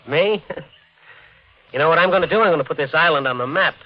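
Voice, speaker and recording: An actor in an old-time radio drama playing a brash, driven American developer, fast-talking, slangy and cocksure. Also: male voice, on the high side, natural voice. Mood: brash, confident. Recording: muffled 1950 radio broadcast, faint music.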